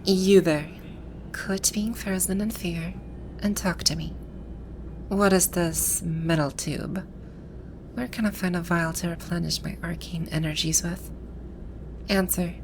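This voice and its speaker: A woman's voice: lowish voice, steady tone, unhurried, long pauses, slight background noise. A woman acting a haughty, bewildered video-game villainess in an ASMR roleplay, with pompous, commanding, old-fashioned phrasing. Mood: imperious, demanding.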